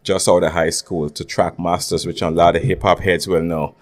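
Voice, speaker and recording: A Guyanese man with a very low voice talks easily about his life, filling pauses with ums and restarts. He speaks from a podcast studio. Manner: relaxed, rambling with many fillers. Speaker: guest, recording artist. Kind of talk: casual interview.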